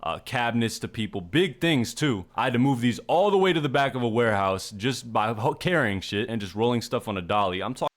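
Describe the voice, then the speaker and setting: A man's voice: mid-range voice, lively intonation, a little fast, hardly any pauses. A man streaming casual reaction commentary, talking in a slangy, emphatic, argumentative way.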